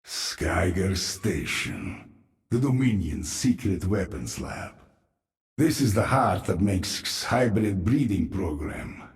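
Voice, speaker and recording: A man playing a grim, wary infested military officer in a video game, gravelly, giving measured briefings. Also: sits low, animated. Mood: ominous, doubtful. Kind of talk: video game cutscene acting.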